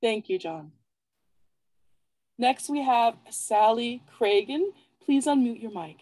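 A woman's voice: fairly high pitch, expressive, takes it slowly, dark tone, no echo. A woman hosting an online open mic with brief announcements. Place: online video call.

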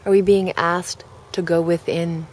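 Low-pitched woman guiding a relaxation. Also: little pitch movement, slight background noise. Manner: gentle, measured phrasing with pauses. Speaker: guided relaxation host. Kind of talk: guided relaxation, meditation narration.

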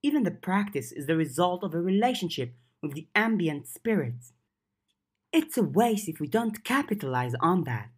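Narrator doing a high-pitched, insistent teenage girl. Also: big pitch swings, normal speed, husky. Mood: defensive. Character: keen, defensive, scheming teenage girl.

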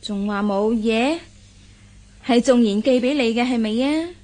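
A woman's voice: fairly high pitch, measured pace, mellow, natural voice. An actress playing a caring mother in a radio drama, coaxing secrets out of her daughter in a knowing, inquisitive way. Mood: concerned. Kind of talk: Cantonese radio drama acting.

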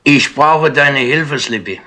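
An actor playing a shrewd, patronising older London chief inspector in a wry, colloquial way, in 1960s radio drama style. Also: male voice, mid-range voice, slow pace, sharp tone. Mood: wry, businesslike. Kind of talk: 1960s German radio crime drama acting. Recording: noisy 1960s radio tape with clicks.

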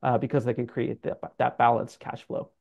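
A man's voice: medium pitch, level tone, moderate pace, gravelly, a little mellow. A middle-aged man explaining things as an interview guest in an informal, conversational way with many loose qualifiers. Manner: informal, hedging with like and sort of. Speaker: guest, financial adviser. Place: office room, indoors. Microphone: video call. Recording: dull video-call audio.

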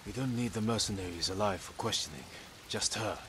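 An actor playing a steady, calculating samurai in a video game, hushed and tactical. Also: male voice, on the low side, a little fast, background noise, lightly acted. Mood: focused.

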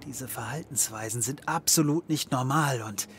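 An actor playing a flippant, sarcastic private detective in a comedy audio drama, joking and theatrical. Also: male voice, medium pitch, normal speed, a bit bright, slight background noise, lightly acted. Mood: joking, then serious.

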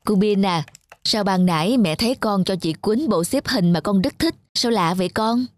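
A woman voicing a gentle, caring young mother who speaks affectionately in an animated children's tale. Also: medium pitch, moderate pace, no echo, close to an everyday voice. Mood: tender. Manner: affectionate, softly admonishing.

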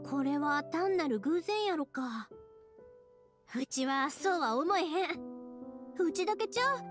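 High-pitched, chatty young Kansai woman. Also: measured pace. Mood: earnest, reflective. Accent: Kansai Japanese. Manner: expressive, earnest, chatty. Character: cheerful, earnest young woman firework-maker, warm and chatty. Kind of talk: anime game voice acting. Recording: game music behind the voice.

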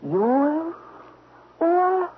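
An actress playing a seductive, taunting supernatural temptress in a radio drama, drawing out insistent questions. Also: female voice, extremely high pitch, very animated, over music, heavily acted. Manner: slow, drawn-out, insistent questioning. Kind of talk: old-time radio drama acting. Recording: tinny, noisy old radio broadcast.

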